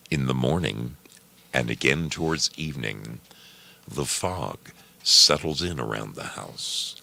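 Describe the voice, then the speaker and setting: A man reading a story aloud in a deliberate, measured manner, as scripted narration. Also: very deep voice, gravelly, bright, forceful tone. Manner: slow, deliberate, measured delivery.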